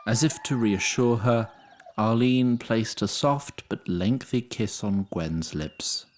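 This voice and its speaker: A man's voice: on the low side, slow pace, a little mellow, slight background noise. A man narrating a scripted fantasy story as a dry, literary storyteller.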